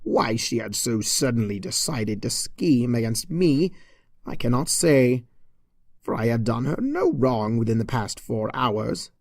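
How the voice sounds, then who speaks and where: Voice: medium pitch, very animated, measured pace, vocal fry, a little mellow, no room sound, clean studio sound. A storyteller reading a comedic story as an arch, mock-pompous narrator in a theatrical manner. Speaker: fan fiction reader.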